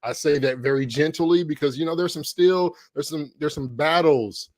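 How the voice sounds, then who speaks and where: A man's voice: on the high side, normal speed, no room sound. A middle-aged man co-hosting a podcast, chatting casually and upbeat, with lots of fillers and restarts, from a home corner on a podcast microphone. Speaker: podcast co-host. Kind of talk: casual chat.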